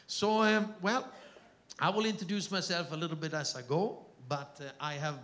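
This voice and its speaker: A man's voice: fairly high pitch, steady tone, moderate pace, fairly bright tone. A middle-aged man with an Austrian German accent, preaching as a guest missionary in a church, joking with and calling out to the crowd. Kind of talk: sermon. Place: church hall.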